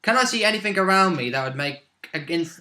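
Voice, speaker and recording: A man with a fairly high, bright voice chats as a player in a tabletop game. He talks very fast and eagerly, chipping in with a jokey, casual manner. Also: expressive. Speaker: player in a tabletop role-play. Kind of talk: casual chat.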